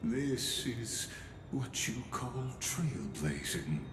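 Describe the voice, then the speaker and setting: An actor playing a courteous, calculating high-ranking envoy in a video game, speaking in a formal, measured way. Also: male voice, big pitch swings, slight background noise, full character voice. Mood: calm, diplomatic. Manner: formal, measured, smooth-tongued. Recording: echoey, with music behind.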